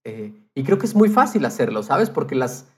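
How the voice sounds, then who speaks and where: A man's voice: mid-range voice, very animated, moderate pace. A man podcaster chatting casually and explaining things conversationally, checking in with his listener as he goes.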